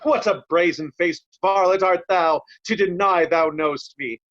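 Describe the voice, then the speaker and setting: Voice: mid-range voice, unhurried, a bit bright, dull audio, lightly acted. An actor playing a blunt, hot-tempered old loyalist in Shakespearean reader's theatre, scornful and emphatic, piling up insults. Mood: scornful railing.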